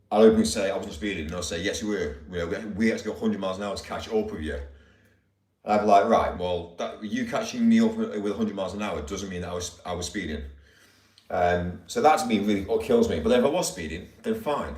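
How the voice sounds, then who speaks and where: A man with a deep voice, ranting in rambling, indignant casual chat as a live streamer. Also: darkish tone. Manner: rambling, indignant, repeats himself. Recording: roomy, distant mic.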